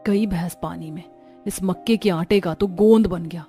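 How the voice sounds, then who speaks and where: Fields: Figure: grumbling young daughter-in-law acted by a woman. Tone: mellow. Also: on the low side, moderate pace, close to an everyday voice. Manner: complaining, dramatic. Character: put-upon young daughter-in-law, grumbling in self-pity. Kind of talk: animated Hindi family story dialogue. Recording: music bed under the voice.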